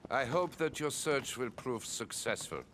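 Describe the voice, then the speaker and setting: An actor playing a courteous, aloof gentleman with formal, measured politeness in a period video game. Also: medium pitch, animated, a little hiss or hum. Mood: polite, reserved. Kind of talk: scripted video game acting.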